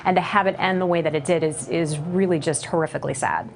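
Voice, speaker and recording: A woman's voice: deep voice, fast, creaky, soft background music. A woman giving unscripted commentary in an interview.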